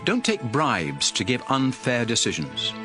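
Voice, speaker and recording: An animated, solemn prophet lawgiver. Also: male voice, medium pitch, measured pace, over music. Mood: solemn, commanding. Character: solemn male prophet lawgiver addressing his people. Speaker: scripture narrator. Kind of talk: dramatized audio Bible reading, oratory.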